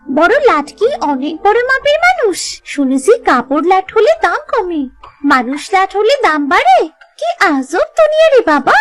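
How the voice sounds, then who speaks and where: A woman's voice: very high voice, sing-song, normal speed, soft background music. A woman voicing a talkative, cheeky little village girl in a cartoon. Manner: talkative, answers back. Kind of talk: cartoon voice acting.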